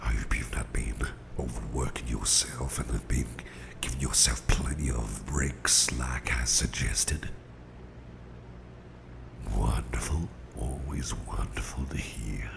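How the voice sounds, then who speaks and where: A man playing a gruff but tender, protective boss in an ASMR roleplay, murmuring soothingly in a gravelly, breathy half-whisper. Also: very deep voice, background noise, heavily acted. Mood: tender, reassuring. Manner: soothing, unhurried, folksy, murmured. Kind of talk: ASMR roleplay, intimate personal attention.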